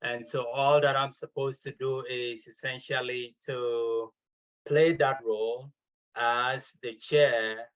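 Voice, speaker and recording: A man's voice: mid-range voice, fairly bright tone, lo-fi sound. A man chairing an award session over a video call, speaking formally and politely with frequent ums and uhs. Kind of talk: formal welcome and introduction.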